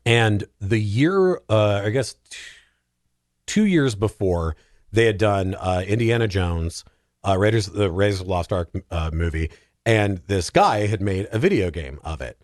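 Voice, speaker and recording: A man in casual, comedic podcast chat, telling things in an animated, halting way with plenty of filler likes. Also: lowish voice, no echo, clean studio sound. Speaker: podcast panellist.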